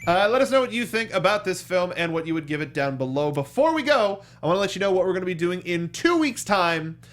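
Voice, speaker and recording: A man's voice: high voice, sing-song, quick pace, a bit bright, soft background music. A man hosting a review show in a casual, informal and enthusiastic way. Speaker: show host.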